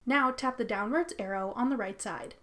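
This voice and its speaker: A woman's voice: a little high, moderate pace, sharp tone. A woman narrating a scripted how-to tutorial as its host.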